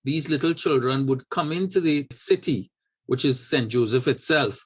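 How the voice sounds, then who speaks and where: A man from Trinidad and Tobago, a guest in an interview, telling a family story in an unhurried, anecdotal way with frequent restarts. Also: mid-range voice, slightly rough. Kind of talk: conversational storytelling.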